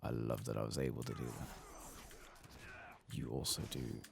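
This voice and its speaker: A man doing soft-spoken ASMR commentary as he plays a game, murmuring to himself in a low-key way. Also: flat delivery, moderate pace, gravelly, background noise. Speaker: game streamer, host of an ASMR playthrough. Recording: keyboard and mouse clicks behind voice.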